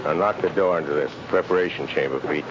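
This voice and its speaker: Old radio hero: low, slow, commanding, mysterious; muffled recording. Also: male voice, background noise. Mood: calm. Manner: deliberate, commanding, solemn. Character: mysterious commanding mind-reading crime fighter posing as guard. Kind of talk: 1930s radio drama acting.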